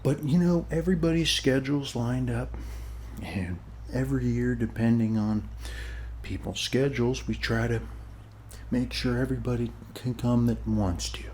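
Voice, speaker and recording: A man playing a friendly, rambling neighbor in a soft ASMR roleplay, speaking haltingly and often dropping to a whisper. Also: mid-range voice, steady tone, slight background noise, close to an everyday voice. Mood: friendly, welcoming. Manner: halting, rambling, reassuring, often ending phrases with "okay". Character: friendly rambling male neighbor at a lake party. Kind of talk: ASMR roleplay, soft-spoken one-sided conversation.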